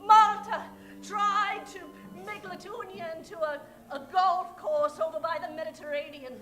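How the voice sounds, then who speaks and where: A woman's voice: extremely high pitch, steady tone, moderate pace, fairly bright tone, faint music behind. A woman playing a haughty, tough-talking scheming princess villainess in an improvised radio parody, with a theatrical delivery. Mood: haughty, defiant. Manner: imperious, slangy, theatrical. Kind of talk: improvised old-time radio acting.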